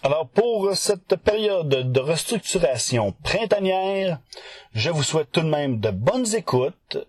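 A man's voice: fairly high pitch, sing-song, slow, breathy edge, no echo. A man giving a casual podcast segment, speaking hesitantly as he searches for words. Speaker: podcast contributor presenting news segment.

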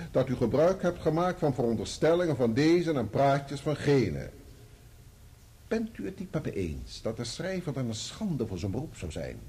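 An actor in a radio play voicing a probing, sardonic barrister, formal and full of pointed rhetorical questions. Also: male voice, a little high, dark tone, slightly rough. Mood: pointed, needling. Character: probing, sardonic male barrister cross-examining a witness. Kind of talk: courtroom radio play acting.

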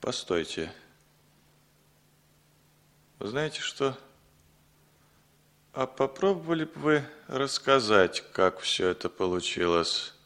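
An actor in his thirties playing a guarded Soviet man who answers in a measured way in a 1960s radio drama. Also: medium pitch, lively intonation, fairly bright tone.

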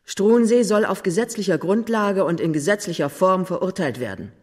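An actress playing a cold-blooded, scheming dowager queen in a German radio play, speaking in an imperious, deliberate and menacing way. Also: mid-range voice, animated, a little mellow, close to an everyday voice. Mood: vindictive, menacing.

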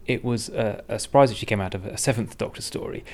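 A man's voice: on the low side, fast, a little mellow. A man interviewing a guest on a podcast in a conversational style, offering comments and questions.

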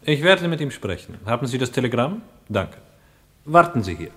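A man's voice: medium pitch, big pitch swings, moderate pace, a bit bright, husky. An actor playing a formal, evasive Soviet interpreter in a radio drama, a man with an emphatic, dismissive courtesy. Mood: brisk. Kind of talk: German public radio drama acting.

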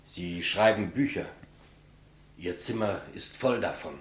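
An actor playing an elderly state executioner, polite, clumsy and matter-of-fact, in 1950s German radio play style. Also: male voice, deep voice, unhurried. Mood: businesslike probing. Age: older. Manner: deferential, plain, businesslike.

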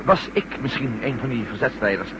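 A man's voice: medium pitch, brisk, lightly acted. A man acting a weary, troubled former astronaut in a radio drama, speaking hesitantly and broodingly. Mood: anxious, pleading. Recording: muffled, noisy old radio recording with hum.

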